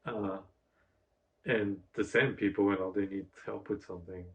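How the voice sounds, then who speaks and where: A man's voice: lowish voice, steady tone. A man from Bulgaria with a Bulgarian accent, earnestly telling stories in a casual conversation as a podcast host. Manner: earnest, storytelling, halting restarts. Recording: dull lo-fi sound.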